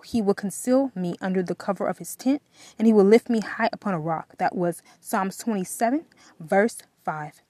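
Female faith podcast host, mid-pitched, earnest and exhorting, repetitive for emphasis, close dry recording. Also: darkish tone, no room sound. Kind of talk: devotional encouragement talk with prayer.

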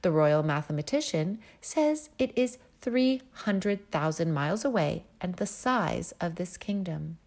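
Woman reading as an old storybook king, impatient, commanding, a bit gravelly. lively reading voice. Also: on the low side, a little slow, hardly any pauses. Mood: mournful. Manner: impatient and commanding, turning mournful. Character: hot-tempered demanding old king.

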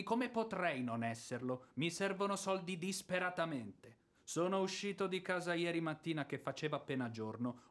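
An actor playing a blustering, hot-tempered landowner and former officer in a radio drama, emphatic, sarcastic and grumbling. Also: male voice, on the high side, natural voice. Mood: exasperated. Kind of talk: theatrical radio drama acting.

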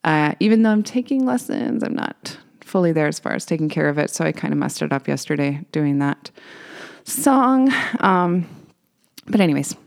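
A singer-songwriter woman, a little raspy today, chatting casually between songs and telling rambling stories with fillers. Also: low voice, animated, moderate pace. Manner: rambling, warm storytelling with fillers.

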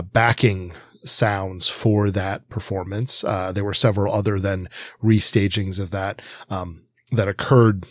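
Man, podcast co-host, lowish pitch, hesitant casual delivery, frequent pauses, dry sound. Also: no echo.

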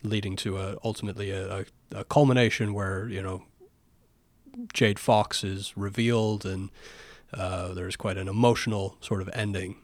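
Low-ish guy on a podcast mic, blunt and wry, super dry sound, just chatting about a movie. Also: steady tone, normal speed, dry recording. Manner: wry, blunt, self-mocking. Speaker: podcast co-host. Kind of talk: casual film discussion.

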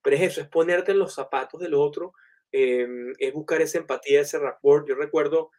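A man telling animated, anecdotal stories in a relaxed conversation. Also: on the high side, a little fast, a little hiss or hum. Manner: animated, anecdotal, many fillers. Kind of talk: casual storytelling in conversation.

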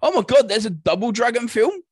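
A man's voice: very high-pitched, big pitch swings, a little fast, husky, no echo, clean studio sound. A man in casual podcast conversation as co-host, thinking aloud with some hesitation. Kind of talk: casual chat about video game movies.

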